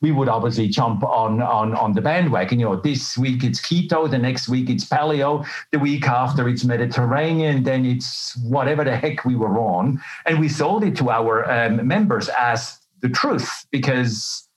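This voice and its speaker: A man's voice: medium pitch, normal speed, hardly any pauses, low-quality recording. A middle-aged man from Switzerland telling his own story as an interview guest, candid, colloquial and self-deprecating. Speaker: interview guest, health professional. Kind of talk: conversational personal storytelling.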